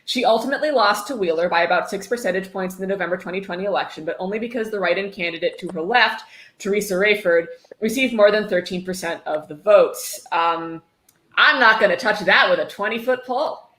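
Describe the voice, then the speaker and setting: A woman's voice: mid-range voice, brisk, fairly bright tone. A woman hosting a casual charity livestream, chatting and reading aloud in a sweary, irreverent, encouraging way. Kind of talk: casual group chat with bits of dramatic reading. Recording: echoey room with background noise and clicks.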